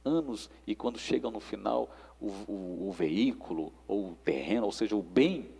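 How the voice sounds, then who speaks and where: Gravelly Brazilian man in a reverberant space. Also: lowish voice, lively intonation, normal speed, warm tone. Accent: Brazilian Portuguese. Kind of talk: preacherly conversational interview. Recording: long echoing reverb.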